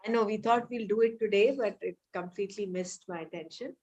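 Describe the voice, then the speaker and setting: A woman leading a casual scripture study group over a video call, explaining terms patiently and reading passages slowly. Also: mid-range voice, a little mellow, slightly rough. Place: home, remote meeting.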